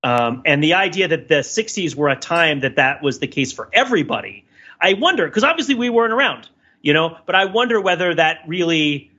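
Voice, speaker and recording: A man's voice: a little high, very animated, brisk, sharp tone, husky, dull audio. A man co-hosting a podcast, talking casually in a discursive, analytical, wordy way. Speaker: podcast co-host. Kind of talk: casual discussion and cultural commentary.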